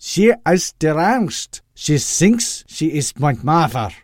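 Odd little fake German doctor; high, drawn-out, awkward. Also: male voice, very animated, no room sound, clean studio sound. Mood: stiff, defensive. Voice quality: thick put-on accent, imprecise. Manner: stiff, awkward, defensive. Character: eccentric small man faking a thick German doctor accent.

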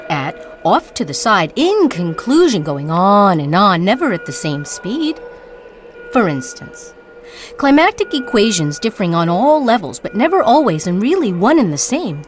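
A woman performing a dramatic spoken-word monologue as narrator, with theatrical, deliberate, incantatory phrasing. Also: medium pitch.

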